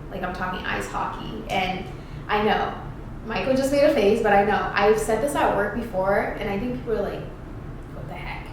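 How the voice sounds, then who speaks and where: A woman's voice: medium pitch, normal speed. A young woman from Ghana chatting casually and playfully, rambling with lots of fillers as she answers questions. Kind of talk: casual get-to-know-me chat. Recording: echoey room, distant mic, background noise.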